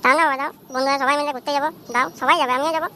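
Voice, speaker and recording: A woman's voice: high voice, steady tone, rapid-fire, pushed and bright, a little hiss or hum. A woman voicing a cheeky, scheming son in an amateur comedy cartoon, whiny and slangy. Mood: pleading. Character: cheeky scheming son nagging his father for money.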